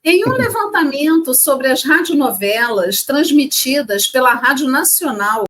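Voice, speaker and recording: A woman from Rio de Janeiro co-hosting a casual chat, lively and chatty as she reads facts from her notes. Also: high-pitched, unhurried. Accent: Rio de Janeiro Portuguese. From Brazil. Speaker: co-host.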